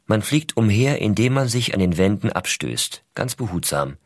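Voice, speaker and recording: An astronaut father writing to his little son. The voice is low and recorded very dry. He reads tenderly and reflectively, at a measured storytelling gait, as in a radio feature. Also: sing-song, dry recording. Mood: observant, gently amused. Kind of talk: scripted reading of personal letters.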